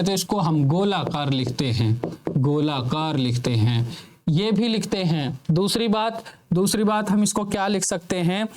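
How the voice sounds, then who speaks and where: A male teacher gives a slow, unhurried lecture. His voice sits a bit high for a man. He repeats each point and keeps asking his students questions before he answers them. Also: a little mellow.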